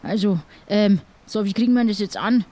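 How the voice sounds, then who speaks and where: A man's voice: high-pitched, moderate pace, dark tone, close to an everyday voice. A man playing a cheeky, rambling pirate with a southern German accent in an improvised audio play. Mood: bossy, indignant. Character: cheeky, chatty male pirate, easily indignant.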